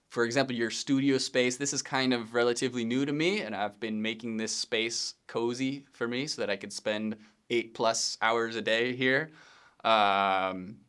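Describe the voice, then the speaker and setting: Host, male, 30s, from Pennsylvania: relaxed, rambling solo podcast talk with plenty of ums, resonant mid-pitched voice. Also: clear, resonant tone. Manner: relaxed, rambling, frequent ums and self-corrections, occasional laughs. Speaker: podcast host, video editor. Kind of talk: casual solo podcast intro. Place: home studio space.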